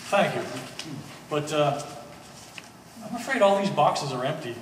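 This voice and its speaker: A man's voice: fairly high pitch, animated, unhurried. A man playing an easygoing middle-aged former hippie with a hesitant, amiable delivery in a live stage play. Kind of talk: live one-act stage acting. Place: art gallery stage reading. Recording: echoey hall, distant mic, faint noise.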